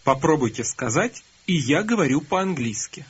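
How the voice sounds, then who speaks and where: A man narrating a recorded language course, calmly prompting learners to repeat and answer. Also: on the high side, moderate pace, a little hiss or hum. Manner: calm, prompting, instructive. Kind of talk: scripted instruction prompting learners. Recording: dull lo-fi course audio.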